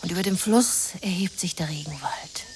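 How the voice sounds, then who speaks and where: Actress as low-voiced dramatic jungle expedition narrator woman. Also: moderate pace, natural voice. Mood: hushed, ominous. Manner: theatrical, dropping often into hushed whispering. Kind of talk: literary radio play narration. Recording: noisy radio play with jungle ambience.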